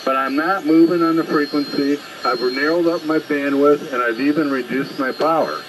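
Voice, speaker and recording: A man's voice: fairly high pitch, moderate pace. A man speaking bluntly and confrontationally as an amateur radio operator, lecturing others in an unscripted exchange over shortwave radio. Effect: shortwave single-sideband radio transmission. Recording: very noisy ham radio reception.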